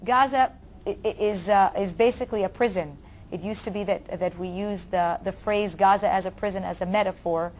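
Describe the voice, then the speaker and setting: A woman of middling pitch answers an interview question, stumbling and restarting her words again and again. A faint hiss runs beneath her voice. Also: fairly quick. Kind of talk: interview commentary.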